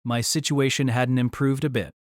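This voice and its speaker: A man's voice: mid-range voice, little pitch movement, moderate pace, no echo, clean studio sound, close to an everyday voice. An actor playing a wry, exasperated young man, delivering wordy first-person story narration and dialogue in a deliberating, self-conscious way.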